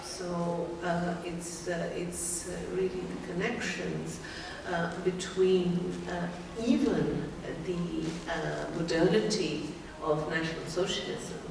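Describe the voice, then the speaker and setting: Woman lecturer fielding questions, halting delivery, heard from far off in a reverberant room. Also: lowish voice, steady tone, gravelly. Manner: hesitant, many fillers. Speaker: guest lecturer, anthropologist. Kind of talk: lecture Q&A answer. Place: lecture hall. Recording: echoey hall, distant mic, background noise.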